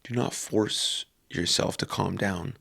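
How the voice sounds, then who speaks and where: A man leading a guided meditation calmly and unhurriedly, in short phrases with long pauses. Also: low-pitched, vocal fry, husky.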